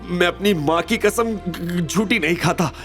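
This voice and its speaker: Cowardly audio drama villain, played by a man: raised pitch, stammering, desperate pleading. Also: measured pace, a bit bright, soft background music. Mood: terrified, pleading. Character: cowardly rich villain begging desperately for mercy. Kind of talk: Hindi audio drama acting.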